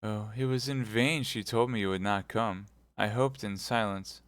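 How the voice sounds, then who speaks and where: A man's voice: on the low side, steady tone, moderate pace, fairly bright tone, dry recording, natural voice. A man voicing a dying young woman who pleads in breathless, frightened bursts. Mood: tender.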